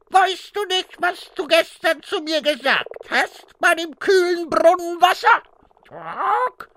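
A man voicing a male frog in a fairy tale, in a high, drawn-out, croaking way. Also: pushed and bright, exaggerated voice, low-quality recording. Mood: calling. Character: pleading slow-voiced male frog.

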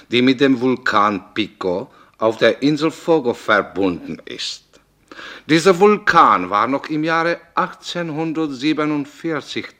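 An actor playing a cautious geology professor giving measured, academic interview answers in a radio play. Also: male voice, mid-range voice, sharp tone.

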